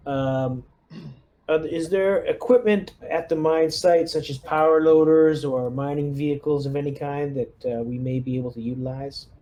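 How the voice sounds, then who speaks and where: A man chatting casually as a player in a tabletop game, speaking politely and haltingly. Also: mid-range voice, warm tone. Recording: noticeable background noise.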